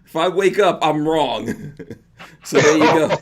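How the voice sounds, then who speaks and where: A man's voice: fairly high pitch, lively intonation, takes it slowly, slight background noise. A man with a New York accent hosting a livestreamed podcast, chatting casually and laughing often. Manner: laughs often, loose and joking. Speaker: podcast host.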